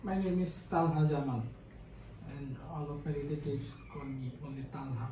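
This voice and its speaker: A young man from Bangladesh with a Bangladeshi English accent, answering as a test candidate in hesitant, halting English full of fillers. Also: fairly high pitch, background noise. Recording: very echoey, from far off, faint ambience.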